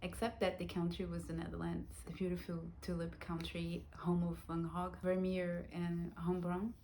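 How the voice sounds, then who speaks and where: A woman in her thirties from Brazil, telling her own life story in a reflective, soft-spoken vlog, with Portuguese as her first language. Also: on the low side, monotone, normal speed, a little hiss or hum. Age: 30s. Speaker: vlogger.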